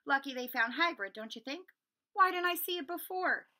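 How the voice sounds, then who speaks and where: A woman reads as an anxious schoolboy wizard in a children's storybook. He is earnest and insistent, his bright, high voice jumping around with urgency. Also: lively intonation, normal speed, heavily acted. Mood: urgent.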